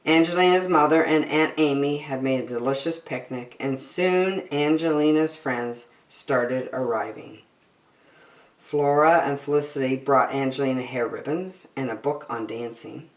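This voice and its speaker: A woman reading a children's story aloud with expressive narration and dramatic phrasing for young listeners, in a roomy-sounding recording. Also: very deep voice, measured pace.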